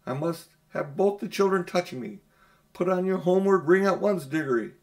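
A man's voice: high voice, expressive, normal speed, a little mellow, voice pushed up. A man plays a sly, cowardly older uncle from a storybook. He speaks with puffed-up pomposity, fawning to those above him. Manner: pompous, obsequious.

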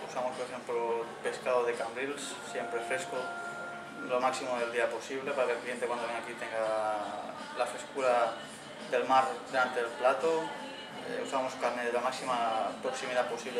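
A man, a head chef answering interview questions in a steady, measured way in a restaurant dining room. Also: mid-range voice. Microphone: far camera mic. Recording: echoey room from far off, with background noise.

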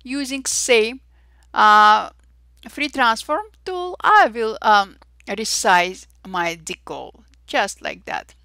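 A woman with an Armenian accent hosting a software tutorial, cheerful and chatty with frequent fillers and little exclamations. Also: fairly high pitch, expressive, slow, smooth, no echo, studio quality. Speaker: Photoshop tutorial host. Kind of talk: screen-recorded software tutorial.

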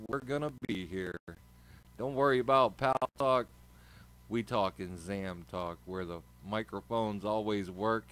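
A man's voice: fairly low pitch, rough recording. A man hosting an online chat room in a folksy, radio-style manner. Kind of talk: radio-style sign-off talk.

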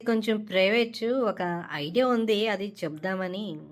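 A woman's voice: mid-range voice, measured pace, close to an everyday voice. Woman voicing a brash, scheming young office guy. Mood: flattering, wheedling. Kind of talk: Telugu comedy cartoon dialogue.

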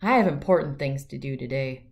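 A woman's voice: very deep voice, animated, brisk, a little mellow, pitched below the performer's own voice. A woman voicing a boastful, dreamy, lazy male gnu in a children's storybook, with a dismissive air. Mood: breezy.

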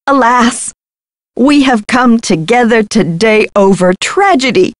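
A woman's voice: a little high, expressive, unhurried, dry recording, studio quality. An actress playing a slick, self-important female spokeswoman in a video game, with a grandiose, theatrical manner. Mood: grandiose, solemn.

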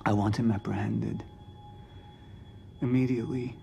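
Gravelly, low-voiced commanding executive. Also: male voice, steady tone, mellow, soft background music. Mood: commanding, controlled. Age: 40s. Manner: measured, commanding, terse. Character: controlled, brooding male corporate executive giving orders. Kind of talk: live-action screen drama acting.

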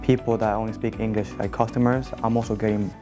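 A man's voice: lowish voice, level tone, fast talker, music in the background. A young man, a student, speaking casually in a classroom interview. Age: young adult. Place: classroom with flags behind.

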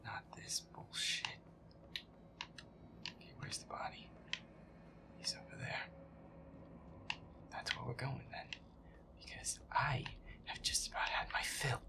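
A feisty young werewolf boyfriend, acted by a man grumbles his way through a scary video game. His voice is breathy and often drops to a whisper, with a gravelly creak. He curses freely and sounds thoroughly exasperated as he mutters to himself. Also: medium pitch, steady tone, slow, pauses often. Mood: muttering, impatient. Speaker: gameplay performer. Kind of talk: hushed in-character gameplay commentary.

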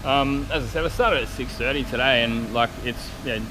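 A man's voice: mid-range voice, normal speed. Matter-of-fact man vlogging over workshop noise. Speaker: car spray painter and YouTube vlogger. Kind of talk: casual workshop walkaround vlog. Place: large indoor car paint workshop and spray booth. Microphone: action camera at arm's length. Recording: clear workshop noise, handheld action camera.

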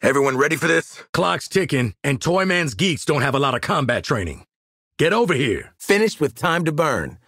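An actor with an American accent playing a gruff, sardonic assassin in a video game, blunt and slangy, with a raspy voice. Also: male voice, a little high, sing-song, moderate pace, pushed and bright, dry recording, studio quality, full character voice. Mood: confident, dry. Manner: brash, blunt, street-smart slang, barking callouts. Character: gruff, no-nonsense male assassin, sardonic squad sharpshooter. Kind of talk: video game combat voice lines.

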